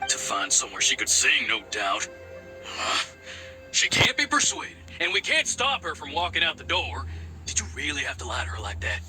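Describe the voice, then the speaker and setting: A man's voice: medium pitch, big pitch swings, moderate pace, sharp tone. A middle-aged actor playing a stern, protective father who scolds and sounds weary. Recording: game cutscene with music behind.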